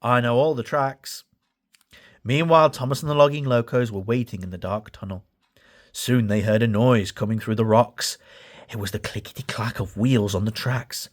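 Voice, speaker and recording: A man reading a children's story aloud with animated, expressive narration. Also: fairly low pitch, normal speed. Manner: animated, acts out dialogue. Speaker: storybook reader.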